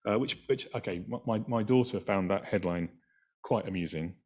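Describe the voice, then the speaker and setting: A man's voice: lowish voice, normal speed, a little mellow. A middle-aged man with a Scottish accent giving an engineering talk from slides, chatty and full of fillers and restarts. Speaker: invited speaker, engineering professor.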